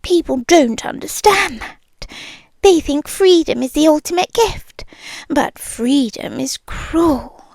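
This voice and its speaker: A possessive, obsessive young girlfriend with a British accent, played by a woman, whispering softly and breathily. Her voice sits high and moves very slowly, each word lingered over with menacing sweetness. The sound is dry and close, with no room around it. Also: animated, no echo, exaggerated voice. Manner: slow, intimate, menacingly sweet. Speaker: ASMR roleplay performer. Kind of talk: ASMR roleplay. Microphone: close microphone.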